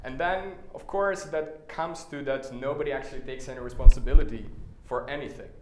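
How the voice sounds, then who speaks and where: Casting note: man from Serbia, tech meetup speaker, middle pitch, casual and wry, echoey room with faint noise. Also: brisk. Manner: casual, many "uh" fillers, wry asides. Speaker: conference speaker. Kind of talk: conference talk with slides. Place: meetup stage, indoors.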